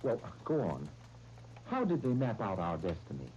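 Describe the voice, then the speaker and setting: A man's voice: lowish voice, wildly swooping pitch, moderate pace, darkish tone. An American man in 1930s radio soap style, playing a moody, jealous, insecure lawyer husband who turns from sarcastic to tender. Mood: suspicious, irritated. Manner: sarcastic, agitated, swinging between bitter and tender. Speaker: radio actor. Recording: dull, noisy 1930s radio recording.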